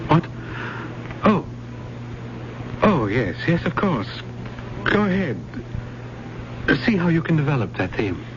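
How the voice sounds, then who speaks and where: A stuffy widowed professor, formal and brooding, swingy pitch, old noisy radio play. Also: male voice, big pitch swings, takes it slowly, pauses often, highs cut off. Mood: distracted. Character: grieving, stuffy-but-polite widowed male English literature professor. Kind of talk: 1970s radio drama acting.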